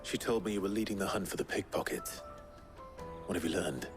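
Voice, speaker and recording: An actor playing a terse, earnest male adventurer in a fantasy video game, speaking in a measured way. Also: music in the background. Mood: terse, businesslike. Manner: terse, low-key, measured. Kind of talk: dramatic video game acting.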